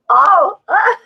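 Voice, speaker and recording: A woman's voice: very high-pitched, creaky, no room sound. A chatty woman with an Australian accent, talking casually on a livestream video call and laughing easily. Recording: dull, lo-fi and dry.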